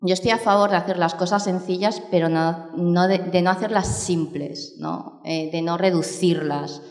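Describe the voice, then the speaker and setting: A woman's voice: on the low side, a little mellow. Woman talking in an echoey room. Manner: reflective, many fillers and restarts. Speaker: documentary filmmaker. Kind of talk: thoughtful interview talk. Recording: echoey room with long reverb.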